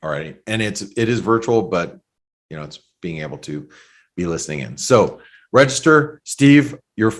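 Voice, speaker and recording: A man's voice: lowish voice, lively intonation, moderate pace. Easygoing webinar regular, a man. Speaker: show regular. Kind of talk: casual webinar chat.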